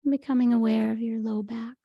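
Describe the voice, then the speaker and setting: A woman leading a guided meditation in a gentle, unhurried, soothing manner, with a soft, breathy voice. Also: on the high side, steady tone, no echo, lo-fi sound. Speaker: meditation teacher. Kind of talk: guided meditation, Yoga Nidra.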